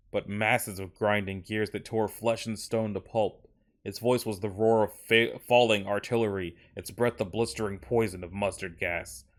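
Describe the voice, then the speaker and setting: A man performs a tormented veteran turned obsessed inventor in a horror tale. The voice sits fairly low. The delivery is solemn and dramatic, like a confession written in a journal. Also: close to an everyday voice. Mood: haunted, grave. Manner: dramatic, solemn first-person reading.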